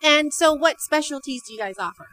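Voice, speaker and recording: A woman hosting a local TV interview in a small barbershop, speaking in a bright presenter tone into a handheld mic. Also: high-pitched, lively intonation, a little fast, poor audio. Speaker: interviewer host.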